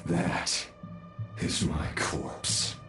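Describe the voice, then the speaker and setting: An actor playing an undying villain in a video game, menacing and grandiose, with a brooding theatrical delivery. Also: male voice, extremely slow, roomy sound, music in the background. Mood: grandiose menace. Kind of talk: dramatic game voice acting.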